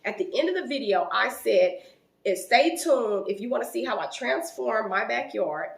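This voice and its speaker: Lively high-pitched woman in her thirties. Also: normal speed, creaky, echoey room. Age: 30s. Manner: animated, rising loud when quoting an argument. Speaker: YouTuber telling a story. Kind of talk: casual storytime vlog.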